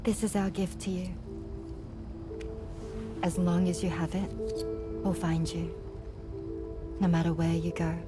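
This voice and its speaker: An actress playing a calm, protective, sincere woman in a video game cutscene. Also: on the low side, a little slow, pauses often, over music, natural voice. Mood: sincere, reassuring.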